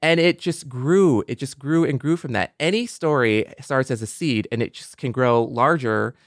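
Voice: medium pitch, moderate pace, no room sound, studio quality. A panelist talking casually and earnestly in a discussion, often repeating points for emphasis. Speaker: podcast panelist. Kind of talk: casual panel discussion about science fiction.

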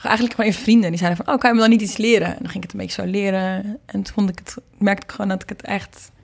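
A woman's voice: medium pitch, steady tone, racing, gravelly. Chatty young Netherlands Dutch woman. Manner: informal, chatty, self-interrupting. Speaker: interview guest, singer and singing teacher. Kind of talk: casual conversational interview.

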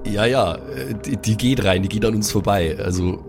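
A man's voice: fairly low pitch, normal speed, vocal fry, music in the background. A man talking casually as a player describing his moves in an improvised role-playing game.

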